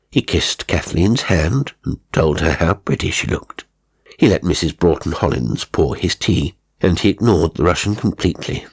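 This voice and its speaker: A man's voice: rumbling low, husky. A man narrating a dramatic horror story as an audiobook.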